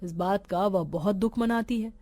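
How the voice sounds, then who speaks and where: A woman's voice: mid-range voice, moderate pace, mellow, a little hiss or hum. A woman reading a scripted story as a narrator, in a dramatic storytelling style. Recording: music behind the voice. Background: background music.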